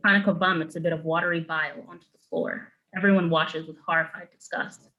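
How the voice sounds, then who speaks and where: A woman's voice: low-pitched, steady tone, fast, dull audio. A woman narrator reading screenplay stage directions in clipped, matter-of-fact phrases.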